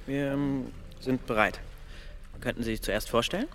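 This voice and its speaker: A man's voice: mid-range voice, fairly even intonation, normal speed, heavy background noise, lightly acted. An actor as a sarcastic, needling male interviewer. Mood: dry. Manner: blunt, mocking, interrupting. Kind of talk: radio drama dialogue.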